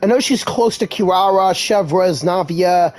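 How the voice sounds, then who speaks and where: A woman's voice: on the low side, unhurried, darkish tone. A woman doing casual, chatty video game playthrough commentary, reacting aloud as she plays. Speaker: gamer playing and commenting.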